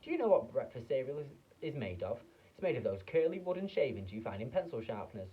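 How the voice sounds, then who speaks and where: A man reading aloud and voicing an eccentric, excitable male chocolate maker with a theatrical, fussy manner. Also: mid-range voice, quick pace, slightly rough, phone-quality sound. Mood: excited.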